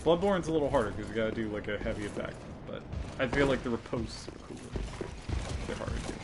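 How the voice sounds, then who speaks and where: A man's voice: medium pitch, lively intonation, quick pace, creaky. Male gamer, mid-range voice, loose joking delivery with plenty of profanity, recorded under heavy background noise. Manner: profane, jokey. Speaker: video game streamer playing and commenting. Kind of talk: casual gameplay chat. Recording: very noisy, constant loud background hum.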